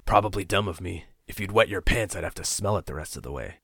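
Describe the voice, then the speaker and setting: A man's voice: sits low, animated, quick pace, gravelly, fairly bright tone, breathy edge, no room sound, studio quality, full character voice. A man voicing a desperate young male captor in an audiobook, swinging from harsh taunts to pleading whispers. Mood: mocking. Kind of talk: audiobook character voice.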